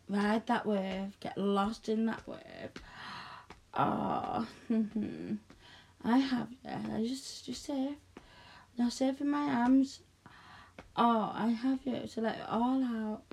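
A woman speaking softly and coaxingly in an intimate audio roleplay, close to the mic, often dropping to a whisper. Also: fairly high pitch, steady tone. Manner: soft, coaxing, slow and breathy with frequent whispers.

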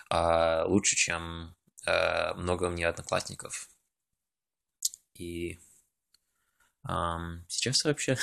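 Hesitant low-voiced man, very flat. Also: gravelly. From Russia. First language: English now dominant. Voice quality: hesitant, many fillers and pauses searching for words. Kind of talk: casual monologue recording.

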